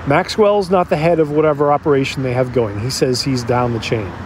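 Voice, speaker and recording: An actor playing an agitated man who recounts a tense encounter in a halting, emphatic, conversational audio drama monologue. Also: mid-range voice, slight background noise, natural voice. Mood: wry, intent.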